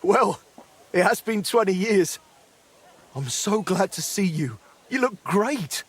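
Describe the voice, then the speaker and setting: An actor playing a remorseful middle-aged former blacksmith in a dramatic game, speaking in an earthy, apologetic way. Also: male voice, high voice, lively intonation, slow, breathy edge, heavily acted. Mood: apologetic, emotional.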